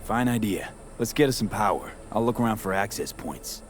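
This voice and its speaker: An actor playing a cool, practical streetwise mercenary in a video game, speaking in clipped, slangy phrases. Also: male voice, lowish voice, animated, moderate pace, natural voice. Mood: cool, confident. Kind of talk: naturalistic video game voice acting.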